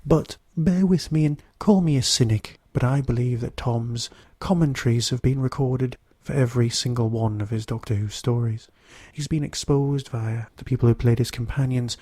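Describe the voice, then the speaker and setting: Male podcast reviewer, solo. Hoarse and husky with a throat infection, dark-toned delivery, dry sound. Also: medium pitch, no room sound. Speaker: podcast host. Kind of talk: solo review talk to listeners.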